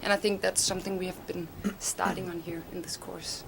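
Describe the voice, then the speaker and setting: A woman master's student from Denmark introducing herself hesitantly, with many pauses. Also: on the low side, level tone, vocal fry, background noise. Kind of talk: prepared self-introduction.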